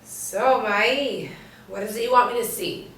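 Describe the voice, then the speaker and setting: A woman's voice: fairly high pitch, unhurried, a bit bright. An actress reading the part of a wry, seasoned policewoman and tracker in a screenplay table read, dry and teasing. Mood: quiet, focused. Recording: roomy, echoey, distant mic.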